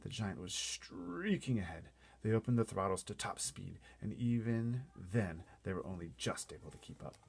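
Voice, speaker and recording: A man's voice: fairly low pitch, animated, moderate pace, vocal fry, slightly rough. A man narrating a storybook in a dramatic, theatrical and suspenseful readaloud style. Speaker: storybook reader.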